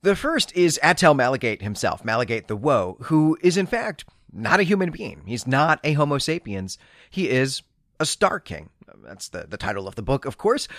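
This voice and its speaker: Podcast guy talking books, really animated pitch, scholarly and articulate, dry close sound. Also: no echo. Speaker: podcast host. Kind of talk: literary discussion and book recap.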